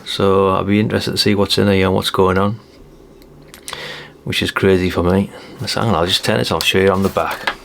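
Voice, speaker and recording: A British man with a lowish voice full of creak talks casually through a hands-on demonstration. He is chatty and rambling, often turning statements into questions as he hosts. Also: level tone, brisk, fairly bright tone, slight background noise. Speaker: host of a radio hobby channel. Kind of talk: casual hands-on product showcase.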